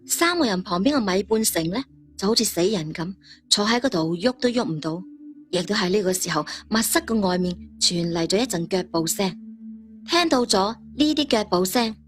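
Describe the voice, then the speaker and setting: A woman's voice: mid-range voice, lively intonation, normal speed, soft background music. A woman, narrating a story dramatically as a storyteller.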